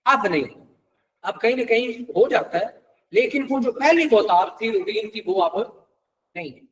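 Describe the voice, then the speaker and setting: A man's voice: sits high, animated, unhurried, recorded from a distance, poor audio. A Pakistani man giving a classroom law lecture in a conversational way, mixing in English terms and checking points with rhetorical questions.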